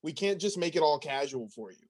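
A woman's voice: deep voice, lively intonation, fairly quick, darkish tone. A woman playing an exasperated, by-the-book astronaut in improvised comedy, emphatic and reproving.

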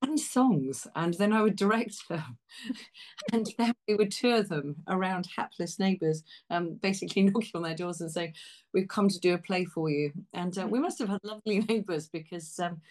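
A woman with an English accent and a low voice talks casually as a guest. She is effusive and leans on emphatic words. Her sentences often break off and pick up again as she searches for the thought. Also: normal speed, darkish tone. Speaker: interview guest, theatre practitioner. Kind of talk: casual chat.

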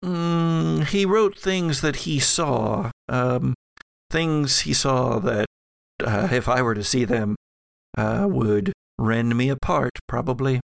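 A man's voice: mid-range voice, takes it slowly, gravelly, no echo. A man voicing an ancient female spirit made of ectoplasm in an audio drama game, musing evasively and searching for words. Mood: cryptic, musing.

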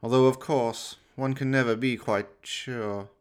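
Man acting a pompous, sinister mad doctor, pitched up. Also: fairly even intonation, a little slow, clean tone, lightly acted. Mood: smug, professional. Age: 50s. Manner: theatrical, pompous, precise. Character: sinister, gloating middle-aged mad scientist doctor. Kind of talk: radio play villain acting.

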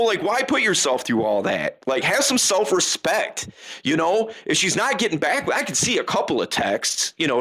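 A young man chatting casually about dating, loose and slangy, breaking into silly voices to act out texts. His voice is fairly high for a man and swoops up and down with lively energy, and it sounds bright and forceful. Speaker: guest giving dating advice. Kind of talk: casual chat.

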